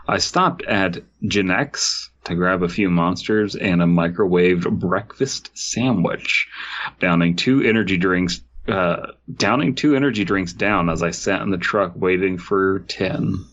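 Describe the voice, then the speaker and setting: Deep-voiced man reading, muffled audio. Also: a little slow, a bit bright. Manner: joking, riffs in bits, laughs often. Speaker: podcast co-host reading a story. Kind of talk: casual comedic story reading.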